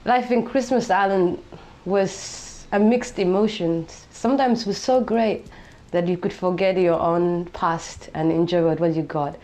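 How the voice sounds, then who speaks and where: A woman's voice: on the low side, steady tone, measured pace, warm tone. A young woman from Somalia telling her own story in an interview, reflective, with lots of "you know" fillers. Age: young adult. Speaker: interviewee, refugee.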